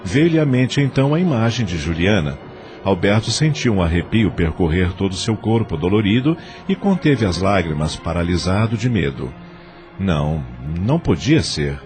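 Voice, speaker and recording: Narrador brasileiro de voz grave. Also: male voice, low-pitched, sing-song, normal speed, darkish tone, faint music behind. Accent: Brazilian. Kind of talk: scripted audio drama narration.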